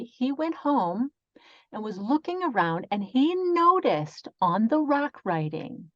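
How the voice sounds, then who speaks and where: A woman's voice: on the high side, no room sound, dull audio. A woman interpreting live for a deaf guest in an interview, speaking in the first person with restarts and fillers. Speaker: sign language interpreter voicing a deaf guest.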